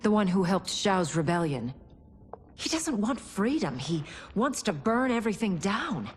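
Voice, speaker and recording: A woman's voice: medium pitch, sing-song, a little slow, a little hiss or hum. An actress playing a serious, skeptical warrior woman in a video game, earnest and direct. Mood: wary. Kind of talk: video game cutscene acting.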